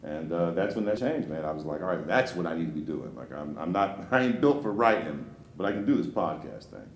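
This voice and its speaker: Deep-voiced man in an echoey room. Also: very animated, a little fast, slight background noise. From Dayton, Ohio. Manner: laid-back, joking storyteller. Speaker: podcaster guest. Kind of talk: casual talk-show chat.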